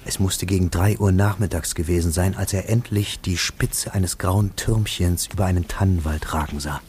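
A man reading scripted literary narration for a radio drama, with a gravelly voice. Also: low-pitched, steady tone, normal speed, darkish tone, slight background noise. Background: music.

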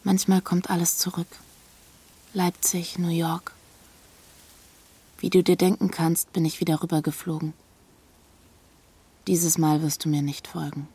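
An actress playing a cool, distant former lover delivering a literary monologue in a radio drama. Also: female voice, fairly low pitch, little pitch movement, measured pace, pauses often, dark tone, slightly rough, full character voice.

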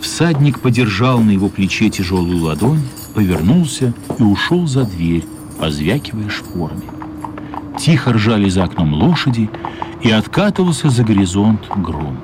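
A man's voice: fairly low pitch, big pitch swings, normal speed. Male radio narrator over music. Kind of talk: scripted storytelling for a children's radio play.

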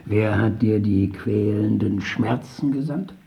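An actor in a radio drama playing a skeptical questioner who tests a sufferer with halting, rhetorical questions. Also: male voice, fairly low pitch, gravelly, mellow, lo-fi sound. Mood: pondering. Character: probing, skeptical male questioner testing a sufferer's faith.

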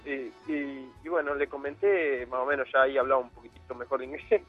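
A man's voice: medium pitch, fast, narrow, tinny audio, soft background music. A young man from Argentina with an Argentine Spanish accent, telling his story as a guest in a casual interview, rambling with many fillers. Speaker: guest footballer.

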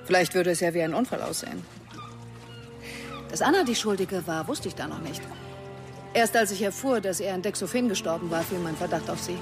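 A woman's voice: fairly low pitch, a little fast, pauses often, over music, close to an everyday voice. An actress playing a composed, defensive woman under questioning in a radio drama, answering in a controlled, matter-of-fact way. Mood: confessing, composed.

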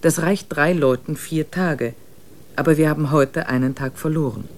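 A man narrating a wilderness expedition diary in a radio drama, measured and matter-of-fact. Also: on the high side, slight background noise.

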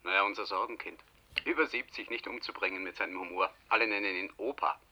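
An actor playing a jovial, teasing hospital colleague in a German radio play, chatty and given to muttering. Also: male voice, low voice, fast, sharp tone, exaggerated voice.

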